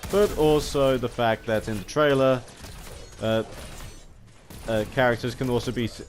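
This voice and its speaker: A man with a medium-pitched voice rambles through unscripted commentary for a gaming video. He speaks haltingly, stammering and restarting phrases as he goes. The home recording carries some background noise. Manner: halting, stammering, often restarting sentences mid-word.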